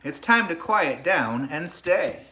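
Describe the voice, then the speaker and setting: A man speaking as a teacher to a young class, sharing a picture book in an animated storybook read-aloud style, with casual chat. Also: on the high side, takes it slowly.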